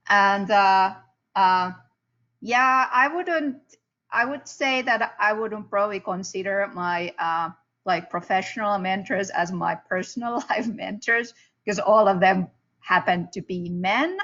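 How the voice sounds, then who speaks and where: A woman from Finland, speaking on a panel in a halting way with many fillers and restarts. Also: lowish voice, pushed and bright, highs cut off. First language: Finnish. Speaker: panelist, PhD student. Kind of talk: casual panel discussion.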